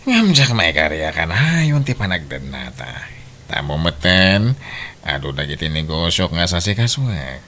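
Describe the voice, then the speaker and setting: A man's voice: low voice, very animated, unhurried, sharp tone, heavily acted. A man playing a blunt, commanding businessman who keeps several mistresses, in an Ilocano radio drama. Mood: coaxing. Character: well-off businessman keeping several mistresses, domineering.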